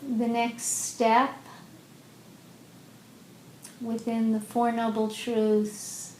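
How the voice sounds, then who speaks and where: A woman leading a guided meditation as a teacher, speaking gently and unhurriedly with long pauses in an indoor room. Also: little pitch movement. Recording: roomy echo, faint rumbling background noise.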